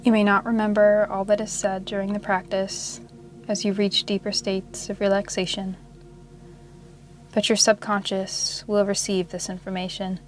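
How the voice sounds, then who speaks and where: A woman leading a guided yoga meditation in a soothing, measured way with long pauses. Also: medium pitch, flat delivery. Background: meditation music.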